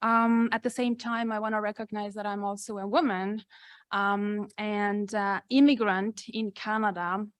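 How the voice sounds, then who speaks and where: A woman academic introducing herself on a panel in a measured, formal manner. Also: medium pitch, level tone, smooth, dry recording, clean studio sound. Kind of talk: prepared panel self-introduction.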